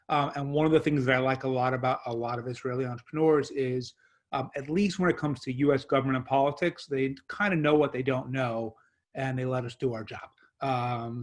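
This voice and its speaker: A man of middle pitch, speaking as a venture investor in a panel on a video call. He is orderly and lays his reasons out in numbered points.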